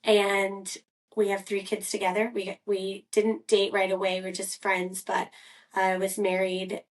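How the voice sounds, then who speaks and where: Casual co-host: a woman who rambles and self-corrects, mid-pitched, sitting a bit back from the mic. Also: monotone, unhurried, distant mic, rough recording. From Scotland. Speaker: podcast co-host. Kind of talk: casual personal storytelling.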